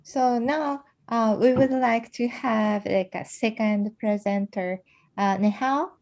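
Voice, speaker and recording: A woman's voice: fairly high pitch, takes it slowly, a little mellow. A woman moderating a webinar over a video call, brief and polite as she introduces the next speaker. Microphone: computer mic on a video call.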